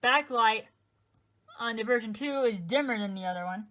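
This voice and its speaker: A woman's voice: fairly high pitch, normal speed, bright, forceful tone. A young woman chatting casually as she shows off and counts her collection of weather radios. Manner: chatty, counting items aloud. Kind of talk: casual show-and-tell vlog.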